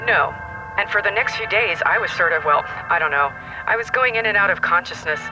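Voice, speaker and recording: A woman playing a dramatic leading-lady actress, telling her story earnestly and haltingly in a TV interview. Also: medium pitch, lively intonation, music in the background, lightly acted, poor audio. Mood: insistent, mysterious. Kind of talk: TV talk show interview acting in audio drama.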